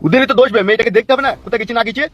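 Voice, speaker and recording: Man playing a blustering, fast, high-voiced cartoon politician. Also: slight background noise. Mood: angry, scolding. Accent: rural West Bengal. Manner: blustering, stammers when agitated. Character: bullying, foul-mouthed, hot-tempered politician father. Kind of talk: comic cartoon dub. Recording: dull lo-fi sound over music.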